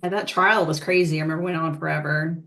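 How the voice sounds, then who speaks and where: A woman's voice: sits low, fairly even intonation, quick pace, a bit bright. A woman panelist chatting casually, thinking aloud in a tentative way. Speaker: panelist at a fan convention. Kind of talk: casual chat.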